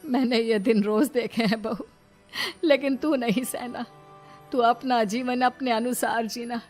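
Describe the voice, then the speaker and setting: A woman's voice: a little high, steady tone, unhurried, soft background music, voice pushed up, natural voice. A woman voicing a long-suffering, caring older mother-in-law in a cartoon family drama, earnest and plaintive. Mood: sad, caring.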